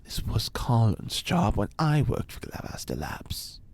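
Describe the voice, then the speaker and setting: An actor playing an eccentric, pompous ex-cult leader and scientist in an audio drama, speaking formally and precisely with dramatic pauses. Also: male voice, fairly low pitch, huge pitch swings, heavily acted. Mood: grave, instructive. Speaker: fictional scientist being interviewed.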